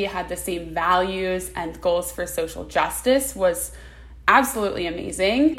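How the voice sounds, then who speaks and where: Twenty-something woman on a video call, earnest and a bit fast, medium voice. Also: medium pitch, fairly bright tone. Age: 20s. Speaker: guest. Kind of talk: casual interview answers.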